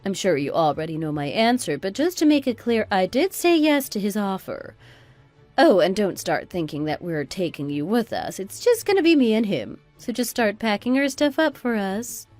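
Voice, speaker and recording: A woman's voice: medium pitch, big pitch swings, moderate pace, a little mellow, no echo, faint music behind, close to an everyday voice. A woman playing a spiteful, self-righteous mother-in-law of sixty-two in an audio drama, scolding and condescending with dramatic exclamations. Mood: smug, taunting. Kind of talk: scripted radio drama dialogue.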